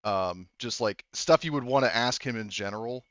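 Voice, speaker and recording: A man's voice: mid-range voice, normal speed, a bit bright, clean tone, no room sound, muffled recording. A man talking casually as a game master, rambling and hedging as he thinks aloud. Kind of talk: casual tabletop game talk.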